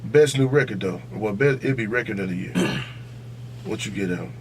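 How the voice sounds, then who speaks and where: A man's voice: on the low side, moderate pace, slight background noise. An American man hosting a casual podcast debate, talking in a slangy, profane, insistent and opinionated way. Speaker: podcast host.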